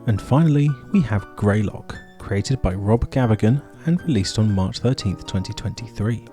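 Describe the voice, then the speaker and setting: A man's voice: on the low side, normal speed, warm tone, faint music behind. A man hosting and narrating a scripted video essay.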